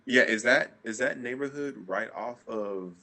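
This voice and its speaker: A man's voice: medium pitch, measured pace, pushed and bright. A man, an actor, chatting casually on a video call with brief, agreeable replies. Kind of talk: casual chat.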